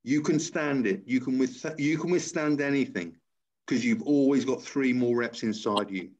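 A man's voice: mid-range voice, moderate pace, darkish tone. A middle-aged man chatting casually on a video call like a motivational trainer, repeating his phrases to encourage. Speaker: personal trainer and interviewer. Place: small room indoors. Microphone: computer on a video call. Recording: dull lo-fi video-call audio.

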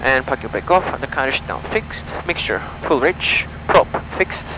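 A man's voice: mid-range voice, moderate pace. A man in his twenties calling out checklists, commenting and making radio calls as a solo pilot on a headset mic. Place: small propeller plane cockpit in flight and taxiing. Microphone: aviation headset boom mic. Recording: headset audio with steady engine noise. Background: light aircraft engine hum.

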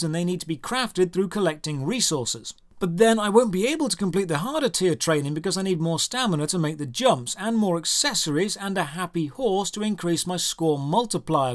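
A British man narrating a scripted video game review in a sarcastic, exasperated manner. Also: on the high side. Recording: music under the voice. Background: background music.

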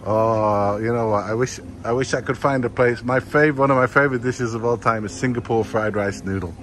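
A man's voice: medium pitch, brisk, fairly bright tone. A man narrating a walking tour of a food court, exclaiming with enthusiasm over the dishes. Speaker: vlogger touring a food court. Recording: noisy outdoor street recording.

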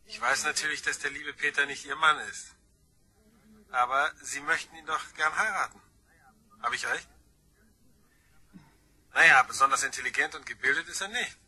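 A sly blackmailer in a crime radio drama. The voice is high, piercingly bright and rough-edged, teasing and insinuating with mock sweetness. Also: male voice, normal speed, pauses often, heavily acted. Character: sly, taunting anonymous blackmailing male caller.

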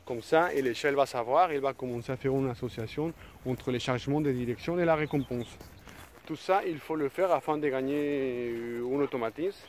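A man in his thirties talks through a dog-training lesson in a grassy park, recorded on a handheld phone. He speaks in a loose, hesitant way, stopping and restarting his sentences. Faint outdoor noise sits behind his mid-pitched voice. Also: normal speed. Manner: hesitant, many restarts and fillers. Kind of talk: casual spoken tutorial. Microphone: phone held at arm's length.

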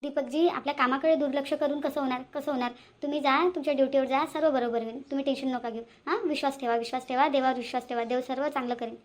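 Woman playing caring bhabhi in a cartoon, varhadi accent, high quick voice, always advising. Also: fairly even intonation, natural voice. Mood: reassuring. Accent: Varhadi Marathi, Vidarbha. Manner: coaxing, advising. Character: caring, dutiful sister-in-law in a household. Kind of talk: amateur cartoon voice-over.

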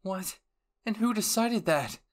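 A man performs a young male soldier in an anime roleplay. His voice sits higher, strained and urgent, as if bargaining under threat. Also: lively intonation, slow. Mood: indignant. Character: young male soldier, anxious, pleading negotiator.

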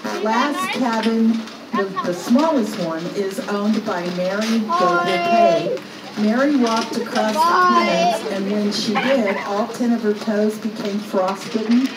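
A woman tour guide speaks from some distance away, her voice carrying with a hollow echo. Loud outdoor noise from a moving tram sits on top of the recording. She narrates brightly, tosses in jokes and quizzes the riders. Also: mid-range voice, animated, slow. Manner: upbeat, jokey, quizzes riders. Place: open-air tram ride outdoors. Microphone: recorder's phone, guide some distance away.

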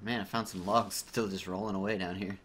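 A man's voice: low-pitched, fairly even intonation, a little fast, fairly bright tone. A man in his thirties with an American accent, joking playfully while streaming a co-op game on a headset. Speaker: gaming streamer. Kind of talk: casual co-op gameplay chat. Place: indoor room at a computer.

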